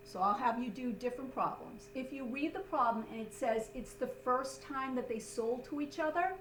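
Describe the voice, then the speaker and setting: A woman instructor giving a step-by-step classroom lesson in an instructive way, often checking in with short tags. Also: on the high side. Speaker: accounting instructor. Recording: roomy, distant mic, faint hum.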